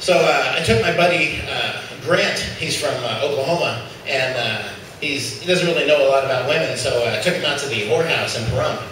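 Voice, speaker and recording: A man doing a stand-up comedy set in a loose style, pausing with "uh" between jokes. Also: mid-range voice, far from the mic. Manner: loose joke-telling with frequent "uh" pauses. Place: comedy club stage. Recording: echoey noisy club room from afar.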